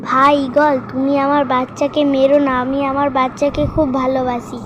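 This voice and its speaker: A high-voiced mother fox played by a woman pleads protectively in a cartoon fable. Her intonation stays fairly flat, and the recording is dull with clear background noise. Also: moderate pace, lightly acted. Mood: pleading.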